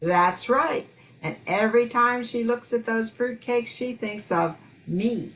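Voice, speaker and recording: A woman's voice: a little high, slow, a bit bright, poor audio. A woman playing a pleased, earnest housewife giving a customer testimonial in an amateur radio comedy.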